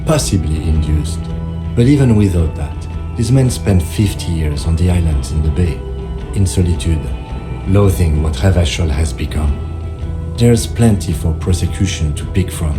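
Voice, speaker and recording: A man acting a cautious, by-the-book police lieutenant in a video game, speaking in a measured, formal and firm way. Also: low voice, music in the background, close to an everyday voice.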